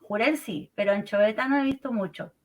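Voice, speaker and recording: A woman in her thirties with a Peruvian accent, a marine researcher calmly explaining in an interview from home over a remote call, often ending phrases with a questioning tag. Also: medium pitch, fairly quick. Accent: Peruvian Spanish. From Peru. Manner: calm, explanatory, many "eh" and "¿no?" tags.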